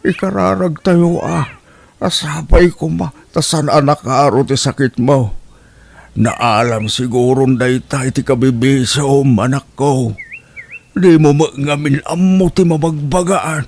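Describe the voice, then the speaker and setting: An actor playing a worried, long-suffering elderly father in a radio drama, slow and plaintive with a creaky voice. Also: mid-range voice, darkish tone. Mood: anxious, reproachful. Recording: faint background noise.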